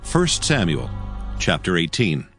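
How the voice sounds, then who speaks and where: Faint music sits behind a lowish male voice. He narrates scripture from a script in a measured, solemn and dramatic style. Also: wildly swooping pitch, fairly bright tone.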